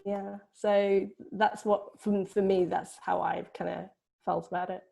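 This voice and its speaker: A woman's voice: medium pitch, little pitch movement, unhurried, gravelly, mellow. A woman from Vietnam who grew up in Britain, speaking informally and reflectively on a panel, often hedging her words. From born in Vietnam, grew up in Britain. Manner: hedging, frequent "you know" and "kind of". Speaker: film producer on a panel.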